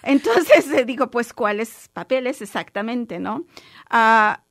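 A woman lawyer, a native Spanish speaker, explaining a legal point in a radio discussion, measured with frequent fillers and restarts. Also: a little high, lively intonation, no room sound, clean studio sound. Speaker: lawyer guest.

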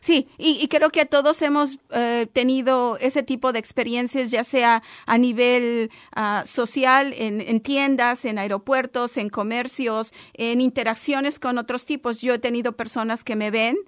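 A woman lawyer, a native Spanish speaker, explaining a legal point in a radio discussion, measured with frequent fillers and restarts. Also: on the high side, fairly even intonation, clean tone, no echo, clean studio sound. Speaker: lawyer guest.

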